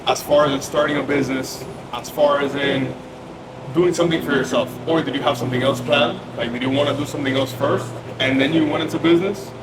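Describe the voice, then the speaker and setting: Man, interviewer, slightly high voice, echoey room, heavy background noise. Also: steady tone. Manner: slow, rambling, probing questions. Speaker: host, interviewer. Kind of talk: interview questions.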